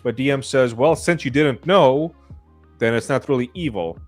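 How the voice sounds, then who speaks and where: A man's voice: medium pitch, normal speed, soft background music. A man in casual podcast conversation, talking like someone sharing a story with friends. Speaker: podcast participant. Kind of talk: casual anecdote and questioning.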